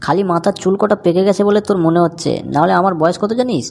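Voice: medium pitch, quick pace, vocal fry, darkish tone. A performer voicing a blustering, lecherous old grandpa in a comic cartoon, coarse one moment and coy about romance the next. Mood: indignant blustering. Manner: blustering, coarse, bashful when talking romance. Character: cheeky lecherous old grandpa seeking a young bride.

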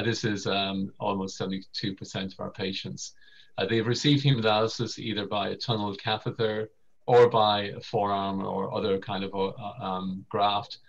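A middle-aged Irish man with an Irish accent giving a semi-formal medical lecture over a video call. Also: lowish voice. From Ireland. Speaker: vascular surgeon giving a lecture. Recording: dull lo-fi video call audio.

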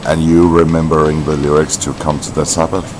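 A man in his thirties chatting casually in a shop, halting with many fillers, and slightly hoarse from a cough. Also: very deep voice, little pitch movement, background noise. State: has a cough. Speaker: vlogger. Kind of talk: casual chat. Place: indoors in a large shop.

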